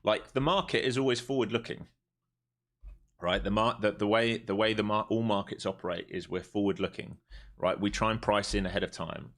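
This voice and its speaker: A man's voice: lowish voice, fairly even intonation, a little fast, a bit bright. A man, chatting casually and bluntly while trading live on stream. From Europe. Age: 40s. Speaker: livestream day trader. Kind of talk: live market commentary and chat.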